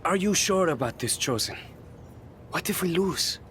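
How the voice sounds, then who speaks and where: A man's voice: sits high, expressive, normal speed, a bit bright, a little hiss or hum. A man playing a conscientious, worried wrestler and apologetic ally in a scripted video game cutscene.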